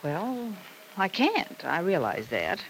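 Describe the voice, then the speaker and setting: On a faintly noisy old radio recording, a middle-aged American mother, anxious and self-sacrificing. Her lowish voice rises and falls expressively, with a tired, hesitant fretfulness in the manner of 1950s soap acting. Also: wildly swooping pitch, slow pace, exaggerated voice. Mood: anxious, protesting.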